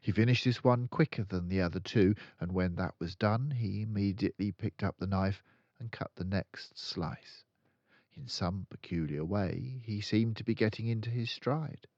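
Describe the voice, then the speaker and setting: An older man narrating a children's story aloud in an expressive storytelling style. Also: on the low side, normal speed, dry recording, lo-fi sound. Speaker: storyteller reading a children's book.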